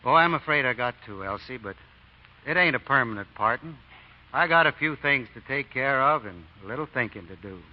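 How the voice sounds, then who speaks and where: Muffled vintage radio recording of a man acting a folksy American deacon. He drawls with feigned innocence, his middling pitch sliding widely up and down, sly yet kindly. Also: animated, a little fast, natural voice. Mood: warm, modest. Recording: dull old radio broadcast recording.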